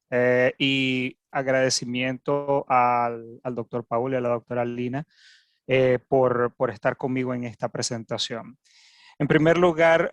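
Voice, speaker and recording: A Venezuelan man giving a keynote talk at an academic webinar over a video call, with a Venezuelan Spanish accent, often pausing and repeating words as he goes. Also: medium pitch, no room sound. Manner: frequent hesitations and repeated words. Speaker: keynote speaker. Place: home office in Canada.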